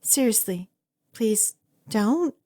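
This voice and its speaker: An obsessive, lovestruck young female captor, acted by a woman in an ASMR roleplay. Her voice is fairly high and swings expressively in pitch, with very slow, drawn-out delivery. She rambles sweetly and possessively, doting on the listener with hesitant asides. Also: lively intonation, mellow. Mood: awkward, reassuring.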